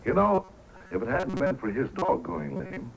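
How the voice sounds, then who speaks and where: An actor playing a good-natured, generous sled-dog owner in an old-time radio drama. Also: male voice, mid-range voice, huge pitch swings, moderate pace, vocal fry, low-quality recording. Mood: teasing, reflective. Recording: old radio recording with loud crowd.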